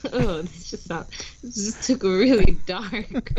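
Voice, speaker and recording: A woman's voice: medium pitch, takes it slowly, muffled recording, background noise. A woman in her early twenties chatting casually, sarcastic and foul-mouthed. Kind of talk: casual chat.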